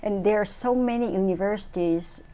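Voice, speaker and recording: A woman interviewing a guest in halting, non-native phrasing with short prompts. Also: medium pitch, dark tone. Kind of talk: casual interview.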